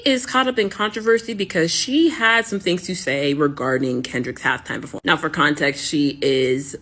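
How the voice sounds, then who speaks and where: A commentator from the United States giving measured, critical commentary in a reaction video. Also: medium pitch, pushed and bright. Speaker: online commentator.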